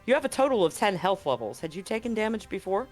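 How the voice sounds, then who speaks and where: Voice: medium pitch, very animated, fast talker. Casual sweary game master, music behind. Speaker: game master of a tabletop roleplaying game. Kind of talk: live tabletop game, casual narration and rules talk.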